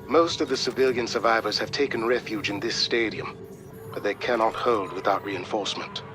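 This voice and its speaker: An actor playing a grave, dutiful military aide in a video game, giving reports in a formal, measured, solemn manner. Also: male voice, fairly low pitch, lightly acted. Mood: businesslike. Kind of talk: scripted video game acting. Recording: loud music underneath.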